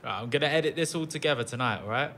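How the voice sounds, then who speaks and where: An actor playing a brisk, businesslike young man in a naturalistic audio drama, casual and practical. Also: medium pitch, a bit bright, a little hiss or hum, lightly acted. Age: young adult.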